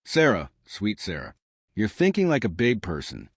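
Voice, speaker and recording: Fierce little female starship captain, played by a male narrator. Cocky, wry, lively pitch, dry sound. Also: normal speed, breathy edge, no room sound, heavily acted. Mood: cocky, determined. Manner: wry, confident, teasing.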